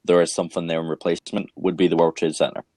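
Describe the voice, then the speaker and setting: Low-voiced Scottish-Irish young man. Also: little pitch movement, rapid-fire, a little mellow, no room sound. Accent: Scottish with Northern Irish mix. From Scotland. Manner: rambling, heavy on "like" and "um". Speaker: actor guest. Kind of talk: casual interview chat.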